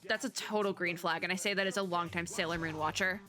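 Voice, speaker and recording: A woman doing casual reaction commentary as a VTuber, chatty, expressive and slangy. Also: on the low side, fast talker, music in the background.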